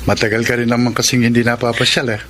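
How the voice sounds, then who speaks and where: A man's voice: medium pitch, flat delivery, fast talker, a bit bright, lightly acted. Radio drama part for a man: possessive older suitor, middle-register voice, carefully probing, faintly noisy track. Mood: casual, probing. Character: older suitor, jealous probing uncle-figure to students. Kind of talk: Filipino radio drama acting.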